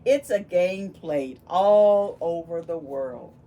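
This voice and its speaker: A woman reading a picture book aloud to young children, expressive and full of wonder, pausing to ask them questions. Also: on the low side. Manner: animated, exclaiming with gasps and wonder, addressing young children. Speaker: volunteer children's read-aloud storyteller.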